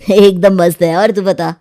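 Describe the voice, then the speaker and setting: A mellow, dry-recorded voice of a young husband acted by a man in a cartoon moral story. He is mild and affectionate, speaking in an everyday family-drama manner. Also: high voice, steady tone, a little slow, no echo. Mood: shy, happy.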